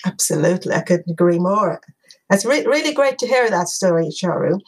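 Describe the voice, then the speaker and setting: A woman hosting an interview podcast, encouraging and quick to laugh. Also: lowish voice, lively intonation. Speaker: podcast host.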